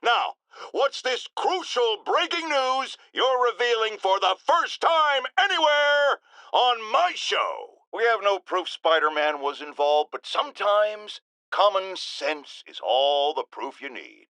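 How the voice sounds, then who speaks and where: A man's voice: high voice, sing-song, takes it slowly, sharp tone, smooth, no echo, heavily acted. An American man playing a pompous, self-righteous older talk radio host in a video game, indignant and emphatic. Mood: exasperated, righteous, then smug. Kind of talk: theatrical radio talk show rant.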